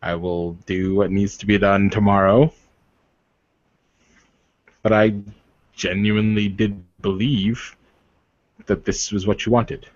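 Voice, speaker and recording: A man playing a stoic, formal warrior with a measured, deadpan delivery and a wryly mocking streak in a tabletop roleplay. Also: on the low side, dry recording, highs cut off. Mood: formal, firm.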